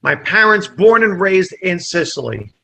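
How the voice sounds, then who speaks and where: A man from New Jersey whose first language is Italian, a comedian telling stories in a casual podcast chat with lively asides and restarts. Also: high-pitched, gravelly. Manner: lively anecdote-teller, quick asides and restarts. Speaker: comedian guest.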